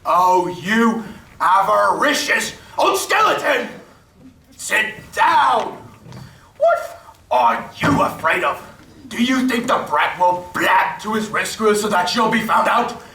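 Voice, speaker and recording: A man acts a brutish, short-tempered thief in a staged radio drama. He speaks slowly with big swings in pitch. The recording is distant and echoey. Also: very animated, vocal fry, sharp tone, full character voice. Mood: scornful. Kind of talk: stage radio play acting.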